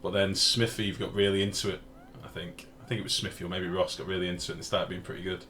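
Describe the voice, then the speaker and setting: A man with a deep, booming voice streams a game over faint background noise. He talks informally, swearing now and then, and moves between commentary on play and replies to his viewers. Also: flat delivery, fast talker, bright, forceful tone. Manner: informal, sweary, reads and answers chat. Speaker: game livestreamer. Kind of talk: casual live gaming commentary, chatting with viewers.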